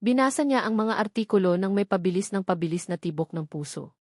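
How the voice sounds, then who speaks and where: A woman with a Filipino accent narrating a scripted story in a dramatic, deliberate way. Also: medium pitch, animated, a little mellow, no echo, studio quality. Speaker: audiobook narrator.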